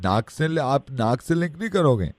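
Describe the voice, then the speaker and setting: A man playing a naive, slow-witted patient on a prank call, hesitant, earnest and deadpan. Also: mid-range voice, no echo, clean studio sound, voice pushed up.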